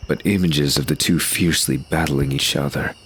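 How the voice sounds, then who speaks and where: A man's voice: rumbling low, fairly even intonation, normal speed, background noise. A man reading scripted narration for an audio drama, describing the action as narrator.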